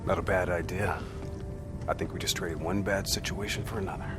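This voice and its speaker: An actor playing a cynical, intimidating soldier in a video game cutscene, blunt, profane and sardonic. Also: male voice, deep voice, fairly even intonation, a little fast, music in the background, lightly acted. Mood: wary, low-key. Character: gruff, cynical, intimidating male soldier and interrogator.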